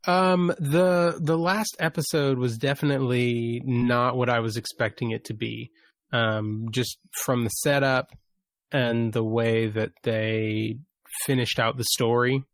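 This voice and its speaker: A man co-hosting a podcast, explaining and qualifying his points in a relaxed, informal discussion. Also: medium pitch, a little slow, no room sound. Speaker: podcast host. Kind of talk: casual discussion.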